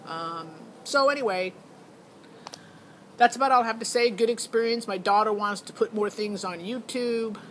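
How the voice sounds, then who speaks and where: A woman's voice: fairly high pitch, moderate pace, a bit bright, a little hiss or hum. A middle-aged woman giving a casual, rambling review as a parent, thinking aloud in a hesitant, matter-of-fact way. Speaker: parent vlogger reviewing a singing show audition.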